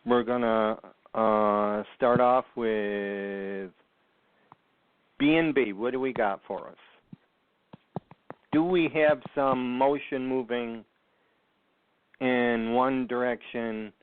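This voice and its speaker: A man hosting a call-in radio talk show, speaking slowly with frequent ums and pauses. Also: medium pitch, fairly even intonation, clear, resonant tone, no echo. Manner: slow, halting, many ums. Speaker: host. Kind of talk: casual radio chat. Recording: tinny phone line, dry.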